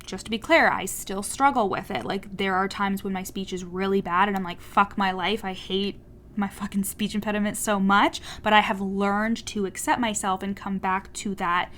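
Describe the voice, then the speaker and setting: A young woman with a stutter, hosting a casual solo coaching podcast in a candid, swearing, animated way. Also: mid-range voice, moderate pace, a little hiss or hum.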